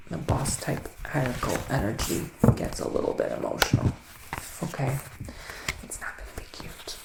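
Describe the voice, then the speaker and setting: Soft, creaky-voiced man, ASMR witch roleplay. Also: medium pitch. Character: psychic male witch living in the woods, giving readings. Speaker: ASMR performer playing a psychic reader. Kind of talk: ASMR roleplay, soft-spoken and partly whispered. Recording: roomy echo with faint background noise.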